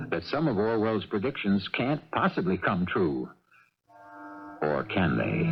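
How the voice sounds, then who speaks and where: A man hosting a 1980s radio drama, reading scripted narration in a measured, reflective, theatrical way. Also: fairly low pitch. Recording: muffled old radio broadcast.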